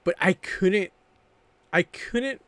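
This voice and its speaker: A man's voice: sits high, slow pace, a bit bright, dry recording. A man co-hosting a podcast, telling anecdotes casually in very informal, sometimes sweary language. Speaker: podcast co-host.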